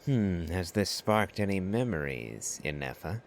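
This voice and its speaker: An actor playing a calm, gentle, reserved traveler hero in a video game, speaking softly and with consideration. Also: male voice, low voice, a little slow, vocal fry, a little hiss or hum, full character voice. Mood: concerned.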